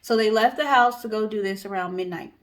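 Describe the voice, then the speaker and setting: A woman's voice: mid-range voice, a little fast. A woman co-hosting a storytelling show, talking in a casual, conversational way with chatty explanatory asides. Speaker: co-host telling stories in a guessing-game show.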